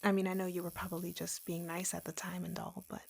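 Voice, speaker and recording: A woman voicing a lovesick, obsessive tattoo artist in an intimate roleplay audio, softly and breathily, in a slow, confiding way. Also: fairly low pitch, level tone. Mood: lovesick, confessing. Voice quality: soft, breathy, whispery. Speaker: roleplay voice performer.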